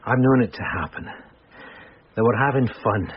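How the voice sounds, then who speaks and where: An actor playing a hungover, apologetic police detective sergeant in a radio drama, halting and deferential. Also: male voice, medium pitch, natural voice. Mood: earnest, muddled. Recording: dull lo-fi radio audio, faint noise.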